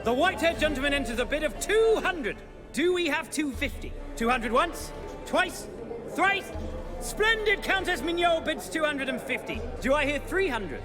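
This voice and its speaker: A theatrical game auctioneer with an extremely high male voice that sweeps up and down. He is genteel, effusive and excitable. Music runs behind his patter. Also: normal speed. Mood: grand, inviting. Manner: showy, effusive, formal.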